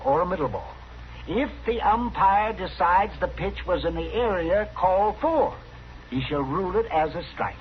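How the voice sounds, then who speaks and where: Older western doctor: pedantic fusser, high animated voice, radio drama, dull old recording. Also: male voice, a little hiss or hum. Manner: pedantic, hemming, officious. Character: fussy, crotchety small-town frontier doctor, older man.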